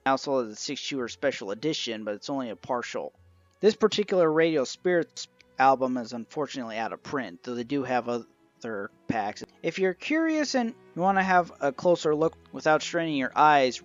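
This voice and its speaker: A man's voice: medium pitch, dry recording, muffled recording. A man narrating an informal review of a collection as its reviewer. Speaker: reviewer of an old radio show collection. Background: music.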